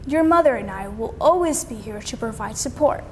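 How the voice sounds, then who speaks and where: A woman reading as a high-pitched, gentle storybook father. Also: expressive, a little fast, big-room sound, slight background noise, lightly acted. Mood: reassuring. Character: gentle, supportive father advising his young son.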